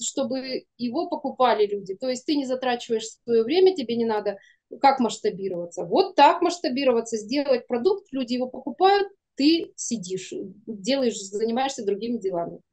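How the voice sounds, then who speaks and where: A woman's voice: a little high, darkish tone. A woman around forty speaking casually in a conversation, informal and rephrasing as she goes. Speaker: animation studio producer. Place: indoors in a room, on a video call.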